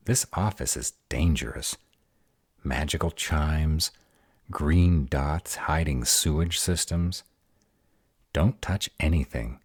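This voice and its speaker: A man playing a protective, grumpy were-dragon boyfriend in a fantasy audio drama, gruff but deferential to authority. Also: rumbling low, darkish tone, no echo, studio quality, full character voice.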